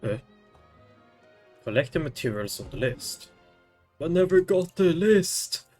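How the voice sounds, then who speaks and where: A man's voice: fairly high pitch, very animated, a little slow, darkish tone, soft background music. A man streaming a game with casual, offhand, muttered commentary.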